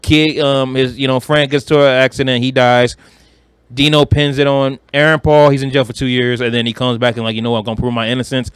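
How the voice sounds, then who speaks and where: A man's voice: mid-range voice, level tone, quick pace, clear, resonant tone, no room sound. A man from Detroit with an American accent, chatting casually and slangily about movies as a podcast co-host, in a joking storyteller's manner. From Detroit, United States.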